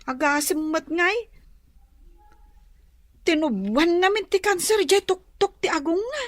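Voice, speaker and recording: Very high-pitched Ilocano student girl. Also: lively intonation, measured pace, dry recording. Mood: respectful, explaining. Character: young nursing student niece, eager and respectful. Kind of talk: Ilocano radio comedy drama acting.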